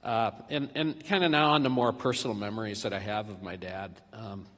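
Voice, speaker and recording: A middle-aged man giving a eulogy for his father at a church podium, speaking haltingly with many fillers and restarts. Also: lowish voice, steady tone, a bit bright. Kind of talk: memorial eulogy. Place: large church sanctuary. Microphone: podium microphone. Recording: reverberant church hall.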